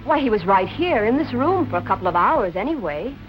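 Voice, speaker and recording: A brisk, loyal nightclub employee in a forties crime picture. Her voice is fairly high and very animated, with old film music clearly underneath. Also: dull audio. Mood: earnest, defensive. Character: brisk, loyal nightclub woman vouching for a friend. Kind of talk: 1940s film noir acting.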